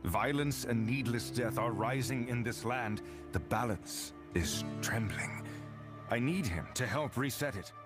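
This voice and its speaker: An actor playing an earnest, resolute adventurer in a fantasy game, grave and direct. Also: male voice, mid-range voice, a little slow, music in the background, close to an everyday voice. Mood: grave, insistent. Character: earnest, resolute male adventurer, guardian of balance.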